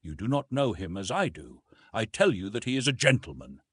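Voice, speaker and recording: A man's voice: fairly low pitch, very animated, brisk, breathy edge, no room sound, clean studio sound, exaggerated voice. A man voicing an earnest, passionate young woman in an audiobook, breathless and vehement. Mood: breathless, insistent.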